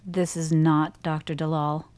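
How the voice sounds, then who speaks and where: A woman's voice: low voice, little pitch movement, measured pace, darkish tone, no room sound. A woman playing a cool, exacting scientist in a radio drama, speaking in a clipped, authoritative manner. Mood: cool, probing.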